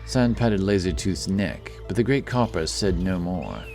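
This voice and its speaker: A man narrating a scripted fantasy audiobook with a measured, dramatic storytelling manner. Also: low voice, lively intonation, darkish tone. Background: music.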